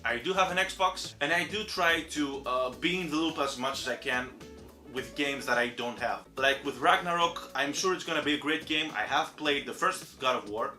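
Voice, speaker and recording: A young man from Greece with a Greek accent, a native Greek speaker, chatting casually and self-deprecatingly about game award nominees as a channel host. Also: moderate pace. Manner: chatty and self-deprecating, animated swings in pitch. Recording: roomy echo with faint music behind.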